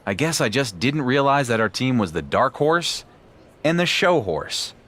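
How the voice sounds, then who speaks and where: An actor playing a proud, sarcastic wrestling champion with a dry manner in a video game cutscene. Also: male voice, mid-range voice, big pitch swings, measured pace, a bit bright. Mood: resentful. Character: wry, proud male pro wrestling champion.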